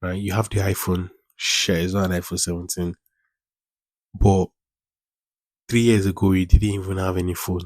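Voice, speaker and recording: A man's voice: low voice, level tone, normal speed, pauses often, darkish tone, no echo, studio quality. A man in his twenties hosting a casual solo podcast, rambling, repeating phrases and using informal slang. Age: 20s. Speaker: podcast host.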